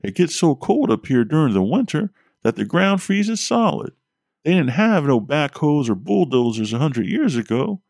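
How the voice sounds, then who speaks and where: Male narrator voicing a high, folksy Vermont plumber, blunt and joking. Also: sing-song, normal speed, vocal fry, darkish tone, no room sound, studio quality, full character voice. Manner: folksy, drawling, a bit crude.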